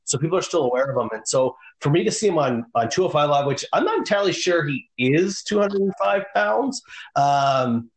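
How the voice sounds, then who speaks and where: A man's voice: fairly high pitch, lively intonation, fairly quick, reverberant, poor audio. A man co-hosting a casual wrestling podcast, rambling with lots of fillers and restarts. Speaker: podcast co-host. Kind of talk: casual chat.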